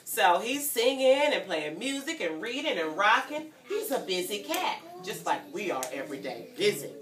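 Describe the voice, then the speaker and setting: A woman's voice: mid-range voice, very animated, moderate pace, crowd noise. A woman reading a story aloud to young children, asking questions and prompting them to repeat as a teacher would. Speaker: guest reader and teacher at children's story time. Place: indoor room with a group of children. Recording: roomy, distant mic with children around. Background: children's voices.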